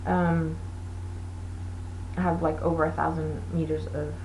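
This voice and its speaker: Woman host, Portuguese native, low-ish voice, easygoing rambling delivery with faint background noise. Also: little pitch movement, a little slow, darkish tone, low-quality recording. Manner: rambling, chatty, many fillers. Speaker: knitting podcast host. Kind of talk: casual chat.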